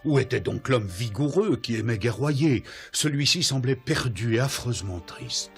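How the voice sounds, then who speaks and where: A man's voice: medium pitch, lively intonation, moderate pace, close to an everyday voice. A man narrating a fairy tale cartoon as a scripted storyteller. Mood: solemn storytelling. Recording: music behind the voice.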